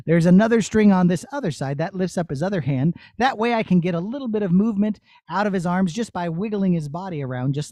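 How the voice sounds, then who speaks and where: A man with a high voice presents puppets to a young audience. He is enthusiastic and explains each thing in simple, repetitive terms, and the sound is dry and close. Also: darkish tone, dry recording. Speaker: puppeteer presenting a show to students. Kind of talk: children's show presentation and demonstration.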